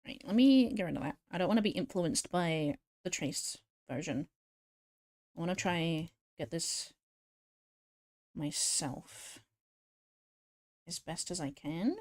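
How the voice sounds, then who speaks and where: A woman's voice: on the low side, slow pace, long pauses, a little mellow, dry recording, studio quality. A woman streamer chatting casually and giggling as she answers viewers while she draws. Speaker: VTuber streamer.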